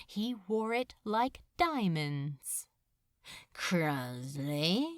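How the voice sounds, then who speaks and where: Expressive, unhurried woman storyteller. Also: medium pitch, no echo, studio quality. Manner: measured, deliberate phrasing with pauses. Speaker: fan fiction narrator. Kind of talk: scripted story narration, dramatic reading.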